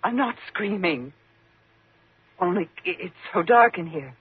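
A woman's voice: on the low side, expressive, measured pace, dry recording, exaggerated voice. An actress playing a nervous, fearful woman in a 1950s radio thriller, with a faltering, agitated delivery. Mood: terrified. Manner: faltering, agitated, breathy gasps. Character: nervous, fearful woman trapped with a menacing man. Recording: muffled, tinny 1950s radio recording.

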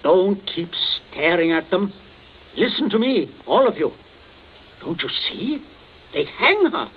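A man's voice: high-pitched, big pitch swings, slow, husky, full character voice. An actor playing a stern, indignant middle-class father in a 1940s radio drama, anxious about his respectability. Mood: alarmed, indignant. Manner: indignant, domineering, clipped commands. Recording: muffled old radio recording, faint music.

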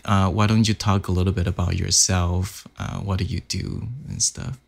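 A man of forty with a Mandarin Chinese accent, hosting a casual interview and speaking slowly with many fillers. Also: low-pitched, little pitch movement, gravelly. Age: 40s. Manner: slow, hesitant, many "like" fillers.

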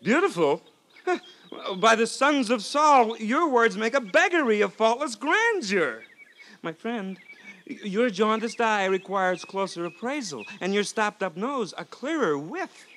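An actor playing a flamboyant, mischievous braggart with grandiloquent, excitable, florid speech in a 1950s radio drama. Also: male voice, high-pitched, a little slow, heavily acted. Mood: grandiloquent, scornful. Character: flamboyant, bombastic, mischievous male rascal and braggart companion.

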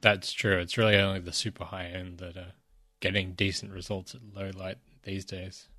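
Croaky man with a cold. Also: deep voice, level tone, normal speed. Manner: asks questions, gently teasing. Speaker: podcast co-host. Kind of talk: casual chat.

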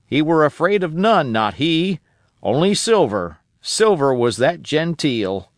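A man voicing an eager, cunning old marooned sailor who talks in a rough rustic dialect. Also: on the high side, big pitch swings, unhurried, dry recording, studio quality. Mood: confiding. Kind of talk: audiobook character voice.

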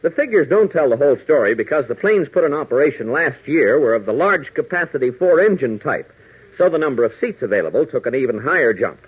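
Old time radio announcer guy, swooping emphatic delivery, bit husky, dull recording. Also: medium pitch, sing-song, quick pace. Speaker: announcer and narrator. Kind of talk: 1940s radio announcing, scripted narration.

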